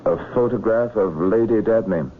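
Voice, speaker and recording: An actor playing a probing police inspector in a radio drama, terse and matter-of-fact, courteous but persistent. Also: male voice, on the low side, moderate pace, natural voice. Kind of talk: 1970s radio drama acting. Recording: muffled lo-fi old radio broadcast, hiss.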